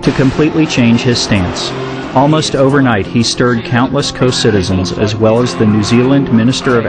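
A man reading scripted news narration in a measured, solemn way. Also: medium pitch.

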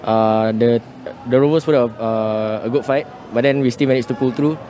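Hesitant young Singaporean man, mid-pitched. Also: fairly even intonation, moderate pace, mellow, a little hiss or hum. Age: young adult. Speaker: football fan interviewee. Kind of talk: casual post-match interview. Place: outdoor stadium entrance. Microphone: handheld phone held toward him.

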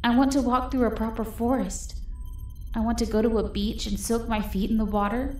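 A woman voicing a gentle, hopeful young girl in an animated comic dub, earnest, soft-spoken and wistful. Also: a little high, brisk, natural voice. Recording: echoey voice under background music.

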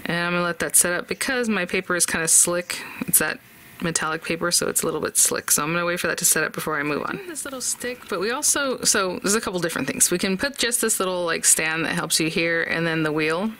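A woman's voice: lowish voice, fast, sharp tone. A woman hosting a casual craft tutorial, chatty and upbeat, thinking aloud as she works at an indoor craft desk. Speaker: craft channel host. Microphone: overhead camera on desk, voice off-camera.

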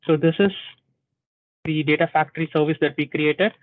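A man presenting a live software tutorial at a tech community session, explaining step by step with many "uh"s and "okay"s and checking in with "right?". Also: on the high side, fairly even intonation, moderate pace, no room sound. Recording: dry, thin phone-like sound.

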